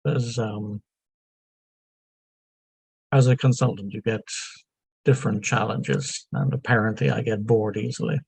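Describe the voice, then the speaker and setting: Older Irish male guest, Dublin accent, unhurried and halting, wry; gravelly voice. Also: fairly low pitch, fairly even intonation, darkish tone, no room sound, poor audio. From Ireland. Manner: halting, frequent ums, self-deprecating asides. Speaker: guest, software consultant. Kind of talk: unscripted interview answers.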